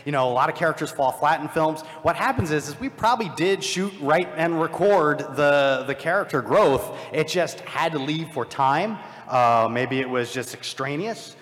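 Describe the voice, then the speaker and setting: A man's voice: fairly high pitch, expressive, normal speed, hardly any pauses, a bit bright. A man on a live convention panel, talking in a rambling, self-deprecating way with many fillers. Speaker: panelist, filmmaker. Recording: long hall reverb.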